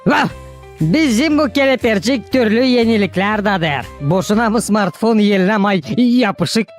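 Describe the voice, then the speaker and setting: A woman voicing an excitable, mouthy female animal in a children's cartoon, exclaiming and mocking. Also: medium pitch, a little slow, lightly acted. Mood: teasing. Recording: music behind the voice.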